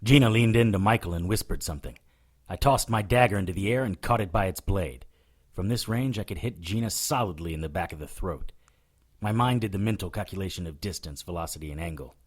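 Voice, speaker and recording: A man's voice: sits low, fairly quick, slightly rough. A man playing a determined, hard-boiled witch hunter, narrating an audio drama in the first person. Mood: tense, narrating. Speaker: lead character and narrator.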